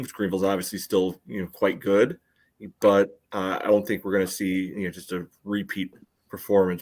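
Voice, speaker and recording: A man's voice: deep voice, a little mellow. A man co-hosting a sports podcast, giving casual analysis in a loose, hedging, conversational way. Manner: hedging, peppers talk with "you know". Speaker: podcast co-host.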